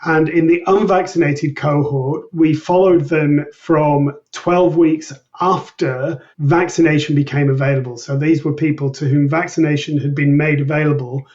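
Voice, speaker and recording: A man explaining research findings as an interview guest in a measured, methodical manner. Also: on the high side, steady tone, a little mellow. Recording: distant mic, dull lo-fi sound.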